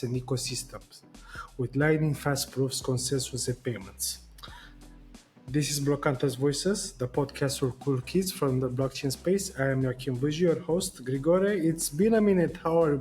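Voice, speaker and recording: A man's voice: medium pitch, a little mellow. A man hosting a podcast interview, upbeat and friendly with his guest. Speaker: podcast host.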